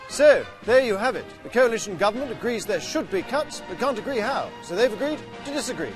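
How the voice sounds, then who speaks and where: A man narrating a mock public information film in a cheery, deadpan satirical manner. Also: high voice, fairly quick. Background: music.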